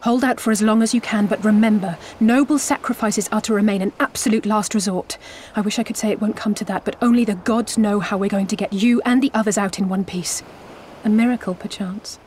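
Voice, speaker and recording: An actress playing a level-headed, serious strategist in a video game, measured, articulate and formal. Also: female voice, medium pitch, steady tone, darkish tone, slight background noise, natural voice. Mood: grave.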